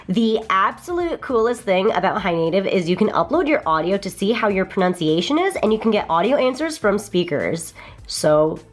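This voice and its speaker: Bubbly young woman with lively pitch. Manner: bubbly, enthusiastic, chatty. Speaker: vlogger reviewing a language app. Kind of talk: casual sponsored app walkthrough.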